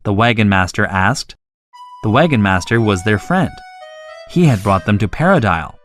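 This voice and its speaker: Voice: mid-range voice, lively intonation, normal speed, faint music behind. A narrator reading a scripted children's story.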